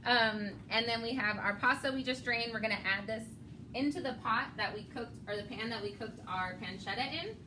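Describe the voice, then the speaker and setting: A woman's voice: fairly high pitch, brisk, background noise. Chatty woman hosting a home cooking demo. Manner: chatty, laughs often, explains step by step. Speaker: cooking show host. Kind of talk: casual cooking demonstration.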